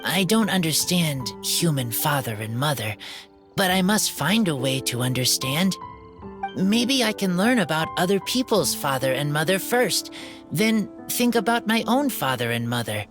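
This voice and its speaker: A woman voicing an earnest, shy wild boy in a video game, speaking in simple, halting sentences. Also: low-pitched, big pitch swings, music in the background. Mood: pensive, halting. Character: earnest shy wild boy raised outside human society.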